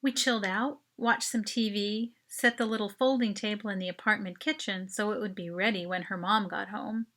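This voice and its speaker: A woman reading a first-person short story as its author, in an expressive, dramatic narration style. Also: mid-range voice, normal speed, few pauses.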